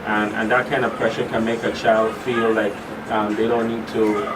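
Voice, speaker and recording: Halting man, distant in background noise. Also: lowish voice, little pitch movement. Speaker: expert guest, school counselor. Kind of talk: conversational explanation in a radio interview. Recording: distant mic, clear hum and background noise.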